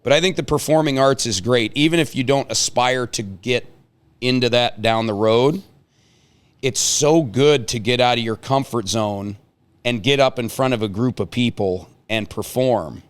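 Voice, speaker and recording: A man hosting a casual chat with high school seniors, asking questions in an informal, teasing, slangy way. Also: moderate pace, clear, resonant tone.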